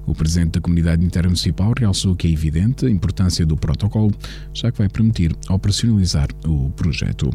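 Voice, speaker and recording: A man presenting a radio news bulletin in a measured, formal reading voice, with a European Portuguese accent. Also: deep voice, mellow, faint music behind.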